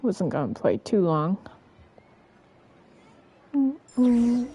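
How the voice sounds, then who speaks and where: Mellow-voiced woman streaming a game. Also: mid-range voice, big pitch swings, drawn-out words, husky, no echo. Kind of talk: casual chat while playing a game.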